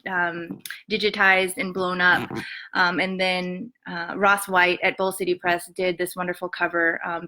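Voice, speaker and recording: A woman poet reading her poems aloud and talking softly between them, with many ums, on what sounds like a home webcam mic. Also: lowish voice. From Montana and western Colorado, USA. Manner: soft-spoken, many ums, measured reading. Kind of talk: poetry reading with spoken introductions.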